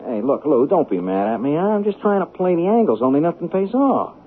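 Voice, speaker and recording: A desperate small-time hustler in an old radio play, his pitch swinging wildly. He talks in slangy, self-pitying bursts and stammers under pressure. The sound is tinny and noisy, like an old broadcast. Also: sing-song, brisk. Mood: scared, frustrated. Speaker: actor and first-person narrator. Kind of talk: 1970s radio drama acting.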